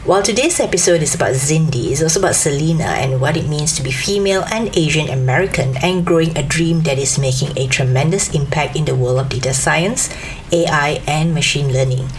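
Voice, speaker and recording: A woman's voice: deep voice, a little fast, slight background noise. A woman hosting an interview show, presenting and asking questions over a remote call. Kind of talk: scripted introduction and conversational interviewing. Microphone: remote video call.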